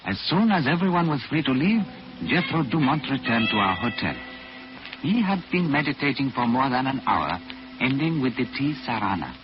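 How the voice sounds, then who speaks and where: Guy doing earnest 1940s mystery show narration, mid voice, very animated, muffled. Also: medium pitch, moderate pace, natural voice. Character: earnest radio-mystery narrator, companion of the hero. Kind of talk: scripted narration, 1940s radio. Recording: dull, faintly noisy 1940s radio recording.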